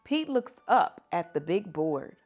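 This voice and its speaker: A woman reading picture books aloud to children like a teacher, with animated, drawn-out emphasis. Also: lowish voice, breathy edge, soft background music. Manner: animated, drawn-out emphasis, asking listeners questions. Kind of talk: expressive children's story read-aloud.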